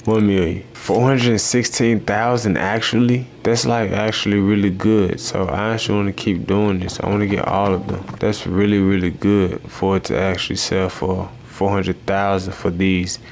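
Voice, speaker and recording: A man with a very deep voice and a gravelly rasp to his tone drifts through improvised gameplay commentary. He meanders from thought to thought in a slow, deadpan, self-amused way. A faint noise floor sits under the recording. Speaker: gaming YouTuber.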